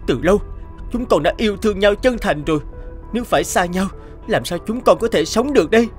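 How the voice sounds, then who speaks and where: An earnest young man in love, from an animated fairy tale. His voice is fairly high and full of movement as he pleads. Music plays underneath. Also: a little fast. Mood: desperate pleading. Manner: beseeching. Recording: music behind the voice.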